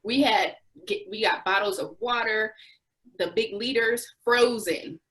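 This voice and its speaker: A woman's voice: fairly high pitch, a little slow, a bit bright, low-quality recording. A young adult woman answering interview questions, recounting experiences as a former volunteer with restarts and occasional laughs.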